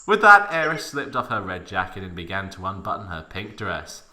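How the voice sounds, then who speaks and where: A man's voice: deep voice, wildly swooping pitch, moderate pace, sharp tone. A man giving a mock dramatic reading of fan fiction, with theatrical, exaggerated emphasis.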